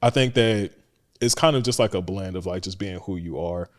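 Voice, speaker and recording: A man's voice: low-pitched, normal speed. A man from Atlanta with a Southern accent, hosting a casual interview and affirming the guest. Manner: laid-back, lots of fillers, affirming. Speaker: host.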